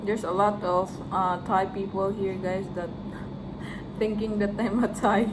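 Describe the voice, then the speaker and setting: A woman's voice: medium pitch, flat delivery, unhurried. A Filipino woman with a Filipino accent, chatting casually to her viewers in a travel vlog, laughing often. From Philippines. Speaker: vlogger. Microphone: phone camera. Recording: street noise behind.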